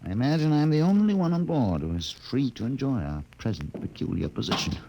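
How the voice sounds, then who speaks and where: An actor playing a fatalistic, sardonic expedition doctor in a 1950s radio drama, speaking deliberately and philosophically. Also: male voice, medium pitch, animated, dark tone, slight background noise, natural voice, rough recording. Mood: bitter.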